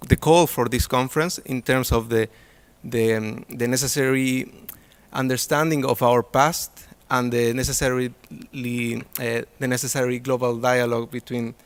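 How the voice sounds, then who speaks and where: A man's voice: mid-range voice, steady tone, moderate pace, clean tone. Man presenting an academic talk. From Chile. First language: Spanish. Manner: hesitant, many fillers and restarts. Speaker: conference presenter.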